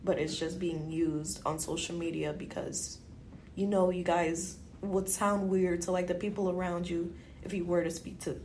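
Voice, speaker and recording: A woman from Guyana with a creaky, slightly low voice chats casually as a podcast co-host. Her talk is informal and conversational. A little noise is audible behind her. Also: flat delivery, moderate pace, darkish tone. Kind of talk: casual chat.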